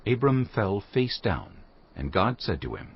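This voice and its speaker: Deep, expressive male scripture narrator. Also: husky. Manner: measured, solemn storytelling. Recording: slightly dull sound, faint music.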